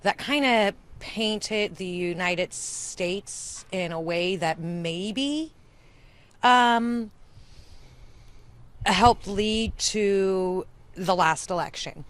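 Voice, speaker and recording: A woman interviewing a guest in casual chat, talking in a halting way with frequent restarts. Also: mid-range voice, clean tone. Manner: halting, lots of fillers and restarts. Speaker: interviewer, theater group member.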